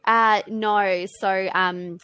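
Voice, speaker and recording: Young Australian woman, English tutor, relaxed chatty manner, video-call sound. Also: mid-range voice, extremely slow, clean tone, no echo. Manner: relaxed, chatty, many fillers. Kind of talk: casual online lesson chat.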